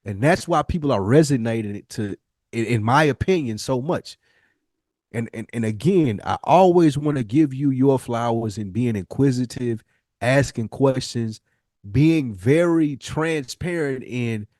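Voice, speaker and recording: An American man with a mid-pitched voice talks as a podcast co-host. He is earnest and emphatic, circling back over phrases in loose, colloquial speech. The sound is close and dry. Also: a little slow, darkish tone, no echo. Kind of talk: casual reflective podcast conversation.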